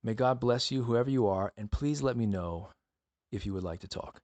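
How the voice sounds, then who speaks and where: A man's voice: mid-range voice, normal speed, a little mellow. A man teaching the Bible as a podcast host, speaking earnestly and emphatically in a monologue built on rhetorical questions and appeals to the listener.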